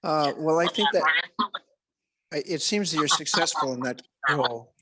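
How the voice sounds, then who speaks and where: A man leads a festival Q&A with a film director, hesitating often and restarting his questions. His voice sits a little high. He talks over a video call. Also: moderate pace, bright, forceful tone, slightly rough. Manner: hesitant, many ums and restarts. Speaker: festival interviewer. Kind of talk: festival Q&A interview.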